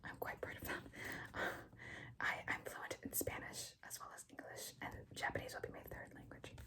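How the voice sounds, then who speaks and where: A woman's voice: normal speed, far from the mic. A young woman whispering an ASMR show-and-tell in a rambling, chatty way, full of fillers. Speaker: ASMR creator.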